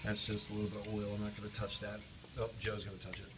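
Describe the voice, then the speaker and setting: A middle-aged man with a hoarse, fading voice, giving an enthusiastic, chatty update on a car project in his workshop, filmed on a handheld phone. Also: on the low side, monotone, fast talker, background noise. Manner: enthusiastic, chatty, addresses viewers as guys. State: losing his voice. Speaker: restoration shop owner, vlog host. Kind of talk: casual shop-floor video update. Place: indoor car restoration workshop. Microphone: handheld phone camera.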